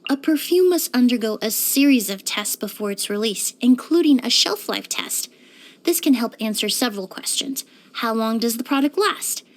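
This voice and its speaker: A woman playing a courteous, composed young woman in a video game, speaking politely and earnestly with careful, measured wording. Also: a little high, expressive. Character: poised, courteous young woman perfumer and forensic cleaner. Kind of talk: scripted video game character voice lines.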